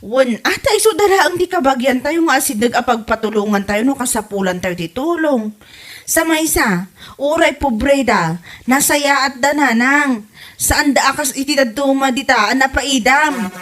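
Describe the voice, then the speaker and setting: Woman playing a high-voiced exasperated daughter-in-law. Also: lively intonation, normal speed, a bit bright. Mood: reproachful, pleading. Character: exasperated, kind-hearted young daughter-in-law. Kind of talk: comedy radio drama acting.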